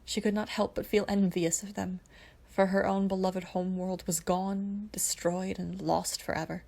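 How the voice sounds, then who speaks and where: A woman narrates a piece of fiction from a script. Her voice sits at a medium pitch, and she reads as the storyteller of an audio fan fiction. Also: little pitch movement, normal speed, vocal fry, darkish tone. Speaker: podfic narrator.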